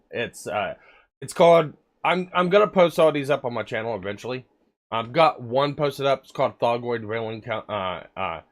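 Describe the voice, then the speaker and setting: A man streaming live, chatting casually while sick with a cold and cough, rambling with many fillers and the odd swear word. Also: mid-range voice. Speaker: livestreamer. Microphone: desk microphone.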